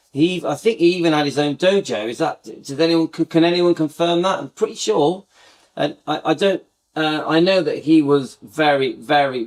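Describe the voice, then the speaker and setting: A middle-aged man hosting a casual live fan chat, talking to viewers in a rambling, reminiscing way with many restarts. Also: fairly high pitch. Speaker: fan channel host.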